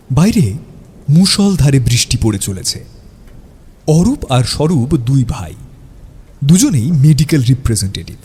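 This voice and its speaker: A man's voice: mid-range voice, a little mellow, slightly rough. A man narrating a scripted audio story in a dramatic storytelling style.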